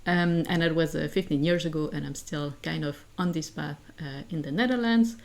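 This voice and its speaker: Swiss woman, 40s, earnest podcast guest, lowish voice, halting with fillers and restarts. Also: creaky. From Switzerland. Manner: earnest, thinks aloud with many fillers and restarts. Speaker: guest, assistant professor and founder. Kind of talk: conversational interview answers.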